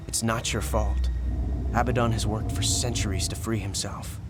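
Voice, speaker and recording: An actor playing a calm, earnest and concerned male hero in a video game. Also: fairly low pitch, normal speed, close to an everyday voice. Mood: earnest, determined. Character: steady, concerned heroic male champion. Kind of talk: dramatic video game voice acting. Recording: loud music behind. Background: game score music.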